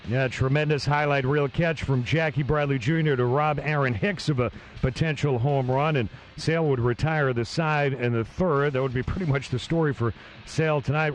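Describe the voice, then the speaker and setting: Steady man narrating a game recap. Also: medium pitch, a little hiss or hum. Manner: steady, matter-of-fact summarizing. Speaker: recap host.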